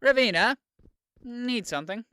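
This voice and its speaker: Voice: mid-range voice, expressive, unhurried, pushed and bright, clear, resonant tone, no echo, studio quality. An actor playing a curt, self-assured senior politician in command, speaking unhurriedly in an audio drama.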